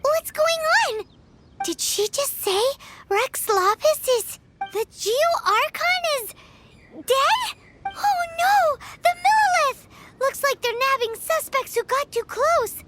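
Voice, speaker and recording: Super high squeaky tiny fairy girl, game sidekick, really bouncy pitch, music behind. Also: sing-song, slow, heavily acted. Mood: panicked. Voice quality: squeaky, childlike. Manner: exclamatory, slangy, dramatic. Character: tiny excitable childlike floating fairy girl companion. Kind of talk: video game character dialogue.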